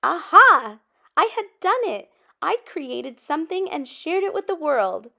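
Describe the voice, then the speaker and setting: Storybook role: excitable, nervy little cookie pupil, raised pitch and creaky edges woman performing. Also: a little slow, exaggerated voice. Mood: excited. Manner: exclamatory, emphatic. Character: eager, anxious young cookie schoolchild narrating its story.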